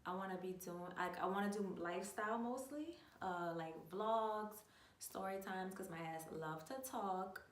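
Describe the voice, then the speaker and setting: Young woman, New Orleans accent, chatty and slangy, lowish voice, echoey room, a bit far from the mic. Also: slight background noise. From New Orleans, USA. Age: 20s. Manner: chatty, animated, uses lots of slang and filler. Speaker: vlogger. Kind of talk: casual storytime chat.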